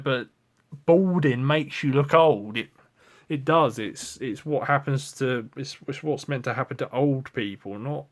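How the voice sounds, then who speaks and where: A man, talking frankly and ramblingly in a confessional vlog, with lots of restarts and swearing. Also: medium pitch. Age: 20s. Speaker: vlogger.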